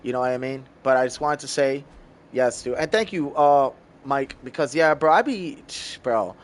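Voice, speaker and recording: A man's voice: mid-range voice, normal speed. A man in his thirties streaming a game, chatting loosely with viewers, calling them bro and swearing freely. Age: 30s. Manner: loose and profane, talks to chat as bro. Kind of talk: casual live-stream chat.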